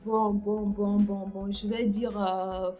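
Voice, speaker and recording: A woman's voice: mid-range voice, flat delivery, slow pace, warm tone, dull audio, faint music behind. A woman hosting a casual livestream chat, speaking hesitantly with many fillers and restarts. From France. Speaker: livestream host.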